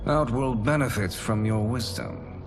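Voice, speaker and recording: A man playing a scheming courtly sorcerer with formal, flattering speech in a video game cutscene. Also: mid-range voice, measured pace, over music, natural voice. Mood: oily, courteous. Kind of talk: dramatic video game villain acting.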